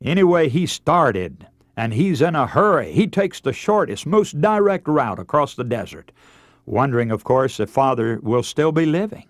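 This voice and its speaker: A man's voice: mid-range voice, sing-song, normal speed, dry recording, clean studio sound. An American man preaching a radio sermon as a dramatic, emotive story, with theatrical delivery and rhetorical questions.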